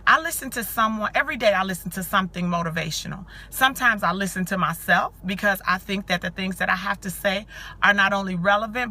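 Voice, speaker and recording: Hoarse, bright-voiced woman hosting a live stream. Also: medium pitch. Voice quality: scratchy, growly. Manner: chatty, greets viewers by name. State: hoarse from cheering. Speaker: live-stream host and coach for mothers. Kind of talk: casual motivational live stream.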